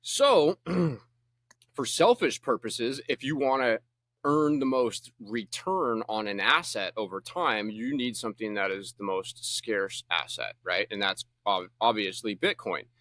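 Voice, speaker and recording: A bright, forceful man's voice explaining ideas with energy. He is from the United States and speaks as a podcast guest. The sound is dry and close. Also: mid-range voice, expressive, moderate pace, no echo. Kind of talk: conversational interview answers.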